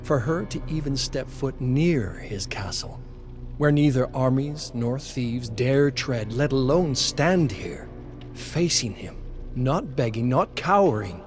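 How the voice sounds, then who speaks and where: A man narrates a dark dramatic tale with slow, deliberate pacing. His delivery is solemn and measured, with faint music underneath. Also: mid-range voice, lively intonation. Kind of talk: dramatic scripted story narration.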